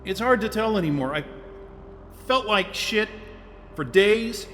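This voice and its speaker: A man's voice: high-pitched, expressive, unhurried, fairly bright tone, long reverb tail, a little hiss or hum. An actor voicing a stressed, grumpy isolated man in an audio drama, talking bluntly and swearing freely. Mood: strained. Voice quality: blunt and profane. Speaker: lead character.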